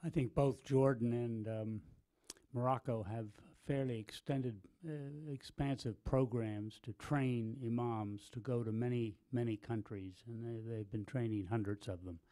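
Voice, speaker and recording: A man moderating a panel's question time from a conference hall stage, polite and brisk. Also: medium pitch, fairly even intonation, warm tone.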